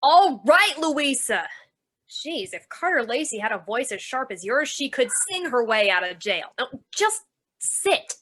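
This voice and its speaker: An actress voices a level-headed midway woman in a noir radio play. Her voice runs a little high. She is blunt and bossy, firm and protective. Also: big pitch swings, measured pace, fairly bright tone, full character voice. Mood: exasperated. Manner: direct, bossy. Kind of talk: 1940s radio noir acting.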